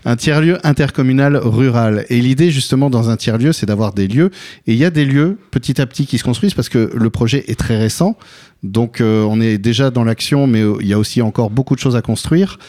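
Male interview host, soft mellow tone, conversational, searching for his phrasing. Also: mid-range voice, brisk.

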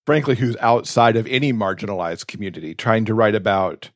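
Low-pitched man, close dry sound. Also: fairly quick, dry recording. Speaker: podcast co-host. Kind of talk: conversational podcast discussion.

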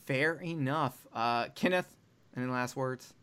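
A man's voice: mid-range voice, unhurried, fairly bright tone, clear, resonant tone. A man from the American South hosting a podcast, joking bluntly in casual chat. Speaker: podcast host.

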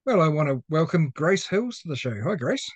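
A man's voice: a little high, sing-song, brisk, no echo. A man hosting a podcast and chatting casually as he interviews a guest.

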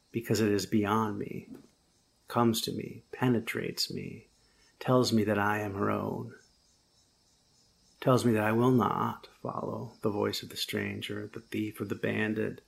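A man with a low, gravelly voice delivers a sermon. His tone is husky and a little rough. He speaks slowly and thoughtfully, rising to firmer emphasis at the key points. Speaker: preacher. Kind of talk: sermon with first-person storytelling.

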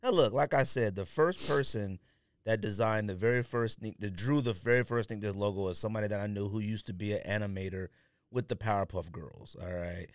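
A man's voice: on the low side, brisk, no echo, studio quality. An American man co-hosting a sneaker podcast in casual banter, slangy, opinionated and emphatic. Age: adult, parent of a young child.

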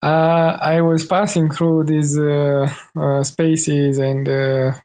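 A man's voice: fairly high pitch, little pitch movement, takes it slowly, dark tone. A man from Tanzania with a Tanzanian accent, hesitantly asking a question in a live audio room, searching for words.

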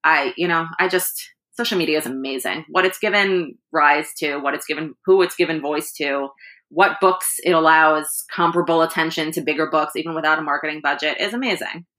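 A woman's voice: sits low, brisk, bright, forceful tone. A woman, an author and editor, talking animatedly in a casual interview, laughing often and restarting her sentences. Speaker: author and anthology editor, guest.

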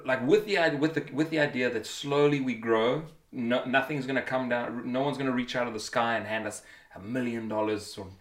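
A man's voice: medium pitch, steady tone, a little fast, few pauses. A man co-hosting a casual podcast, talking eagerly with frequent restarts and repeated words. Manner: eager, restarts and repeats while planning aloud. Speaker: podcast co-host. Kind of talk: casual chat. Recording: echoey room.

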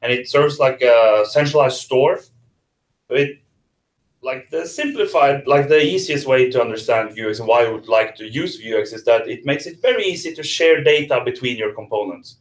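A man's voice: mid-range voice, animated, normal speed. A man guest explaining a workshop in a casual interview, with hesitant restarts. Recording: echoey room.

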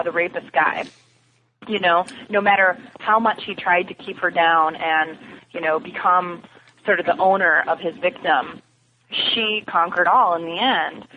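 A woman speaking as a podcast guest, earnest and reflective as she discusses science fiction television. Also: fairly low pitch. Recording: lo-fi, noisy phone or internet call.

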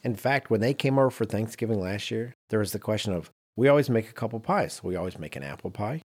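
Animated man, slightly husky, swinging pitch. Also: fast talker. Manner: animated anecdote telling with mimicked quotes. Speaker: podcast co-host. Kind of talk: casual banter.

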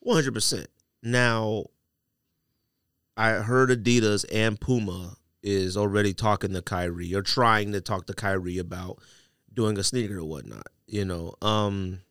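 A man's voice: lowish voice, fairly even intonation, unhurried, fairly bright tone, clear, resonant tone, no room sound, clean studio sound. A man hosting a podcast in casual conversation, with blunt, opinionated, slangy talk. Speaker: podcast host. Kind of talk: casual chat about sneakers. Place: studio.

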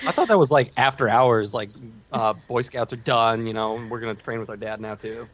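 A man's voice: mid-range voice, fairly quick, muffled recording. A man co-hosting a podcast, casually discussing television in a joking, mock-incredulous way. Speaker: podcast co-host.

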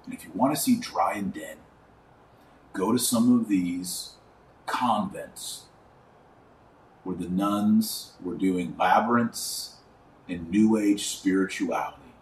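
Deep-voiced man preaching, echoey distant mic. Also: slow, long pauses. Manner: earnest, emphatic, repeating phrases for rhetorical effect. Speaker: podcast host and religious commentator. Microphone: wrong microphone picking up from a distance. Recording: echoey room, distant wrong microphone, faint noise.

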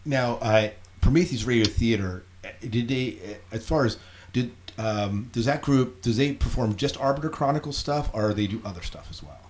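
A man hosting a casual podcast interview, asking his questions in a halting, rephrasing way. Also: medium pitch, slight background noise. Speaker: podcast host.

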